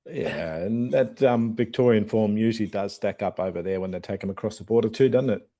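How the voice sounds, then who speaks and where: A man's voice: on the low side, a little fast, warm tone. A man with an Australian accent on a racing tips chat, chiming in with short, easygoing comments. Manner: brief agreeing comments, easygoing. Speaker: racing panelist. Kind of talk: casual podcast chat about horse racing.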